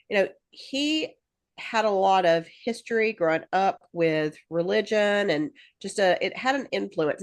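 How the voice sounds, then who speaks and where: A woman co-hosting a podcast in casual chat, rambling with lots of fillers and restarts and laughing easily. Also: mid-range voice. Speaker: podcast co-host.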